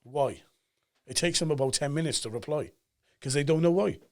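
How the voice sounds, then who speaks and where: A man in his forties with a Cardiff Welsh accent, talking as a guest on a podcast and telling stories with emphatic energy. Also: fairly high pitch. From Cardiff, Wales. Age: 40s. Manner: animated storyteller, fast and emphatic, acts out quoted voices. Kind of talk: casual podcast chat.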